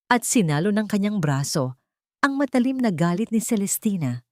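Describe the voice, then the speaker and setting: A woman narrating a scripted dramatic story in a measured, theatrical storytelling manner. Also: sits low, a little mellow, no echo, clean studio sound.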